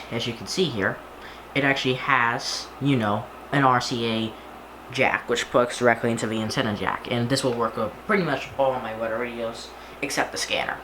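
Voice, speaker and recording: A man with a medium-pitched voice presents an unboxing in a casual, informal way. He describes each small step aloud as he handles things. The home camera recording carries clear background noise. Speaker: hobbyist video creator presenting an unboxing. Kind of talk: casual hands-on unboxing commentary.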